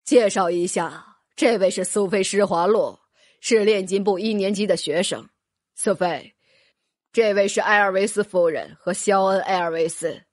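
A woman voicing a crafty old male headmaster who speaks slowly in a lowered voice. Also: no echo, clean studio sound, close to an everyday voice. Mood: calm, sly.

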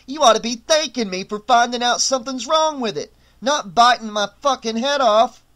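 A man's voice: high voice, animated, normal speed, fairly bright tone. A man voicing a hot-tempered young hotel waiter who fires back heated, defiant retorts. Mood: indignant.

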